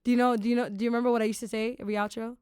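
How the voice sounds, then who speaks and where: A young woman hosting a podcast, talking in an animated, rambling way as she goes through listener resources. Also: a little high, racing, smooth, dry recording, studio quality. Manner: animated, warm, rambling host. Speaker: podcast host. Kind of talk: casual podcast chat.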